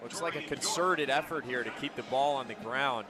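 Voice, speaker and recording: A man's voice: medium pitch, normal speed, crowd noise. An American man giving conversational, opinionated color commentary between plays on a live football radio broadcast. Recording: clear stadium noise behind broadcast commentary. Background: outdoor stadium ambience.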